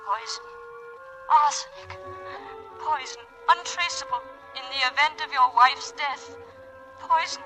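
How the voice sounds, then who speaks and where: A haunting voice from a radio-play nightmare. It is very high and piercing, chanting insistently over faint music. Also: female voice, measured pace, long pauses, pushed and bright. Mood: eerie, urgent. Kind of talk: vintage radio drama acting.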